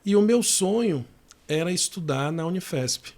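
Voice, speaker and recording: Relaxed man telling his story. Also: sits high, moderate pace. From Salvador, Bahia, Brazil. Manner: relaxed storytelling with frequent fillers and restarts. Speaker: guest physiotherapist and professor. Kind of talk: casual interview conversation.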